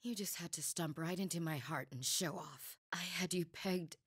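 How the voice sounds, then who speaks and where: A woman's voice: deep voice, normal speed, breathy edge, dry recording, clean studio sound. A woman playing a stern, proud, remorseful yet resolute adult woman, formal and earnest, in anime-style drama. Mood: rueful.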